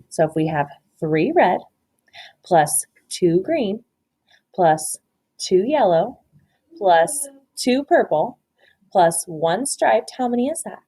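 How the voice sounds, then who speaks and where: Woman, lively kindergarten teacher, swooping intonation, reading a storybook and quizzing pupils on counting. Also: mid-range voice, slow pace, slightly rough. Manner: prompting, instructive, praising pupils. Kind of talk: picture book read-aloud with counting questions to young children.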